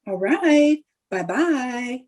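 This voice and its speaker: A woman's voice: sits high, expressive, drawn-out words, a crowd in the background, exaggerated voice. A woman playing a scheming, melodramatic young housewife in an old-time radio comedy, with theatrical delivery. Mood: sweet.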